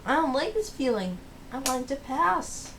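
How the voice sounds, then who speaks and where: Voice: high-pitched, big pitch swings, a little slow, fairly bright tone, slight background noise, voice pushed up, full character voice. A storybook reader voicing an overwhelmed, curious young female chameleon in a plaintive, childlike way. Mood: upset.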